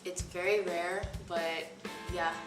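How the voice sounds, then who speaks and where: A woman talks through interview answers in a casual, rambling way, stopping and restarting often and slipping in light laughs. Her voice sits a touch low for a woman. The mic is far off in an echoey room, with music playing clearly behind her. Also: little pitch movement, slow pace. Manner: hesitant, rambling, many fillers and restarts. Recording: roomy echo, distant mic, music behind.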